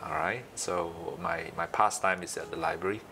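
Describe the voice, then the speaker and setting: A man in his late thirties with a Malaysian accent, speaking haltingly and pausing often in a conversational interview as the guest. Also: sits low, vocal fry, sharp tone, slight background noise. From Malaysia, east coast. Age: late 30s.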